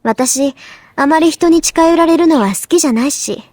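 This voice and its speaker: A woman playing a proud, composed, sharp-tongued teenage schoolgirl in a Japanese anime visual novel, polite but cutting. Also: sits high, fast talker, no echo, close to an everyday voice. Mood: coolly dismissive.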